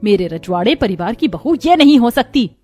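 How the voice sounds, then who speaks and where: A woman's voice: a little high, very animated, moderate pace, darkish tone, soft background music. Woman voicing a haughty, scornful mother-in-law. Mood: outraged. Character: snobbish haughty rich middle-aged mother-in-law. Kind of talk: animated family drama character voice.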